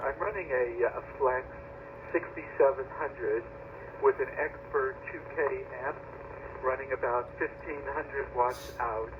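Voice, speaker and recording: A man with an American accent speaking casually and matter-of-factly as a ham operator over a radio transmission. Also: mid-range voice. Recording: noisy, narrow-band shortwave radio signal.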